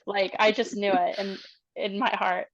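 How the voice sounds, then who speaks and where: Halting woman player on dull-sounding audio. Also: medium pitch, flat delivery. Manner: halting, thinking aloud. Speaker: tabletop game player. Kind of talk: casual, improvised roleplay.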